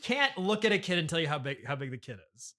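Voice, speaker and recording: Bright-voiced, halting male podcast host. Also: fairly high pitch, very animated. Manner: halting, polite, puzzled, restarts sentences. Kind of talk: improvised deadpan comedy interview.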